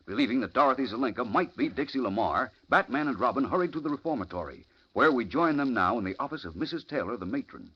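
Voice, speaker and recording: A theatrical serial announcer from 1940s radio. He narrates urgently in a mid-pitched, husky voice. The sound is muffled. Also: expressive, fast, few pauses. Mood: suspenseful. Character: dramatic radio serial announcer recapping suspense. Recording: muffled old radio recording.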